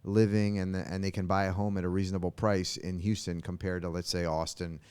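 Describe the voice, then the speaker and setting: A man's voice: deep voice, little pitch movement, a little fast, darkish tone, smooth. A man hosting a talk show, interviewing his guest in a casual, conversational style.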